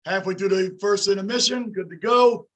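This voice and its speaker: A man in his fifties hosting a casual sports fan livestream, reading the chat aloud and talking loudly and emotionally with a tired, worn-out voice. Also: high-pitched, moderate pace. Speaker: livestream host.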